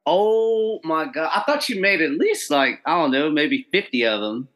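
A man hosting a casual podcast interview over a video call, excitable and slangy, saying dude a lot. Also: fairly high pitch, normal speed. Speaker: podcast host.

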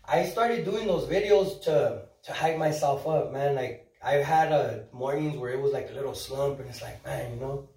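A man in his thirties, a guest chatting casually on a podcast, upbeat and animated, acting out quoted voices and dropping in Spanish words. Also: medium pitch, normal speed. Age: 30s. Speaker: podcast guest, social media influencer. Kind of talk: casual chat. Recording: roomy, mic at a distance.

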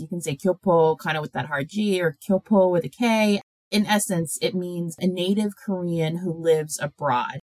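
A woman's voice: fairly low pitch, no room sound, rough recording. An American woman in her thirties, a podcast guest, telling her story in a reflective way with light laughs. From United States. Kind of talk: casual podcast conversation.

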